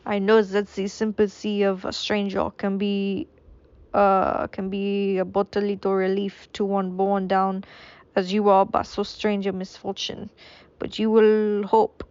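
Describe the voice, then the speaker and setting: A kindly magistrate speaks slowly and sympathetically, his speech carrying a foreign accent, as a woman puts him on. His voice sits at a middling pitch for a woman's and comes through muffled and dry, with no room around it. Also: steady tone, darkish tone, no echo, close to an everyday voice. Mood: sympathetic.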